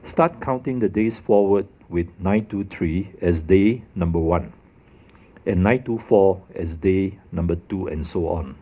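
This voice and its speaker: A man's voice: low voice, measured pace, muffled recording. A man narrating a methodical, scripted explanation of dates and a calendar puzzle. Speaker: religious video presenter.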